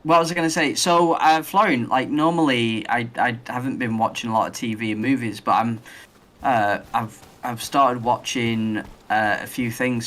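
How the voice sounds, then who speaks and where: A man hosting a casual podcast who rambles enthusiastically and thinks out loud as he talks. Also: mid-range voice, slight background noise. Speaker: podcast host.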